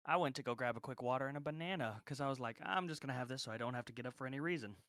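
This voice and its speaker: A man's voice: mid-range voice, racing, fairly bright tone. A man talking casually as a player in an online tabletop game. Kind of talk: casual chat.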